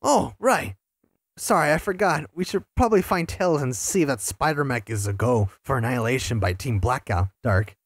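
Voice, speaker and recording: A performer voicing a cocky, teasing male hedgehog hero with a husky voice in a fan audio drama. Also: mid-range voice, lively intonation, normal speed, no room sound, clean studio sound. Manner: brash and teasing.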